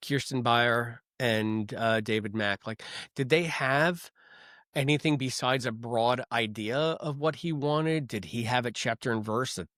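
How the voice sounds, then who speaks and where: A man with a medium pitch talks on a podcast in a thoughtful, musing way and wonders aloud about things. The sound is dry and close, with no room echo. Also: unhurried, fairly bright tone. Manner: thoughtful, wondering aloud, reads listener comments. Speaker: podcast co-host. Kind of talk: casual podcast chat.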